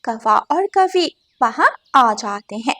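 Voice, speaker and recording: Female narrator, high voice, reading an animated bird tale. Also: slow pace. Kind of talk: scripted cartoon story narration.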